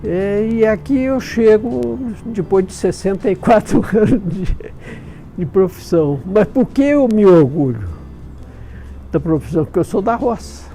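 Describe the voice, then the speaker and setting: Older man from southern Minas, gravelly voice, fairly high pitch, retired doctor telling stories. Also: lively intonation, unhurried, warm tone, soft background music, poor audio. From south of Minas Gerais, Brazil. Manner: folksy storytelling, chuckles while telling. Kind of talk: telling his life story.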